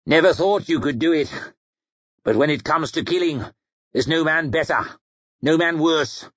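A man's voice: on the high side, normal speed, fairly bright tone, dry recording, muffled recording. A man voicing a mocking, bitter defeated warlord in an audiobook, taunting and scornful. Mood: grudging scorn.

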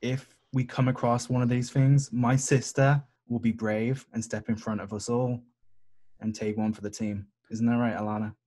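A man with a rough, husky voice of medium pitch plays a tabletop game. He banters in character, casual and teasing. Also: level tone, normal speed, dark tone. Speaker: player in a tabletop roleplaying game.

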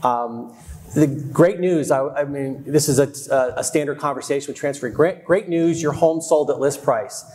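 A middle-aged man speaking as a real estate expert on a conference panel, measured and analytical, wearing a lapel mic on a large hall stage. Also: a little high, darkish tone.

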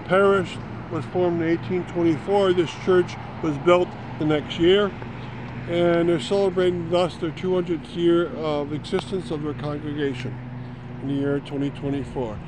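Man guiding a roadside history tour. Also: a little high. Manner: rambling, with fillers and self-corrections. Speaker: local history tour guide. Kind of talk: casual walking tour narration. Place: outdoors on a town green by a road. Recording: outdoor traffic noise.

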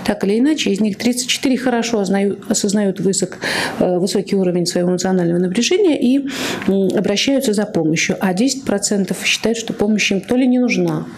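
A woman's voice: mid-range voice, quick pace, a little mellow, a little hiss or hum. Earnest woman presenting at a conference. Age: 40s. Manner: earnest, explanatory, with frequent fillers and self-corrections. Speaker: oncology psychologist presenting at a conference. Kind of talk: conference talk with slides. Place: conference hall, at a lectern.

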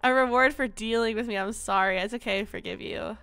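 A young woman streaming casually, with a stuffy nose from allergies, loud and prone to sudden screaming. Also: on the high side, brisk, clean tone, faint music behind. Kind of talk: casual livestream chat.

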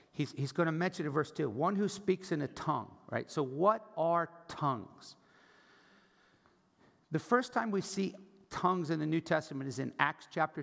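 A man's voice: a little high, creaky. An older American man preaching a teaching sermon in a conversational, explanatory manner, posing rhetorical questions. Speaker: pastor preaching. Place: large church auditorium stage, indoors. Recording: reverberant hall sound.

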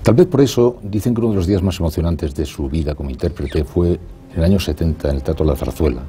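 A man interviewing a guest in a formal room, asking questions in measured, formal phrasing. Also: low-pitched, warm tone, faint music behind. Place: ornate formal room indoors.

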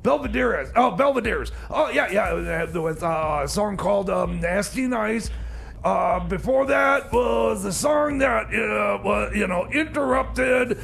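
A man's voice: sits high, animated, unhurried, vocal fry, fairly bright tone, slight background noise, heavily acted. A man playing a flustered, defensive rookie host in radio comedy, stammering and repeating himself as he hesitantly rambles. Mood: hesitant, muttering. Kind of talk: comedy radio theater, live music-show hosting.

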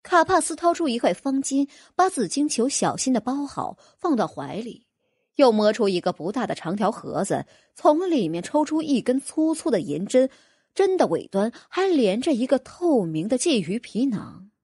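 A woman's voice: fairly high pitch, big pitch swings, normal speed, darkish tone, breathy edge, dry recording, studio quality. A woman narrating a fantasy audiobook in a dramatic, scripted storytelling style.

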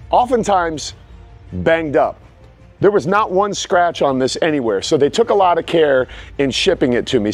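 A middle-aged man giving a casual, frank product review of home gym equipment to camera, recorded outdoors in a backyard on a clip-on mic. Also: fairly high pitch. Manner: plain, frank and explanatory.

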